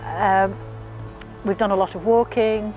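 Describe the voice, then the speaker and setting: An older woman, there to support her husband, answering interview questions in a brisk, enthusiastic way. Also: medium pitch, fairly even intonation, a little mellow, lo-fi sound, soft background music. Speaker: supporting spouse.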